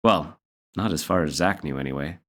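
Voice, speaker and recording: Low-voiced man reading a story. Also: moderate pace, a bit bright. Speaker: story reader. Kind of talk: read-aloud children's story narration.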